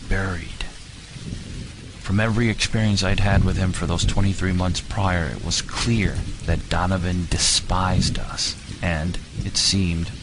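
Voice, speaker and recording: A man giving grave, deliberate, dramatic first-person narration for an audio story. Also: low-pitched, noisy background. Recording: music bed with rain sounds.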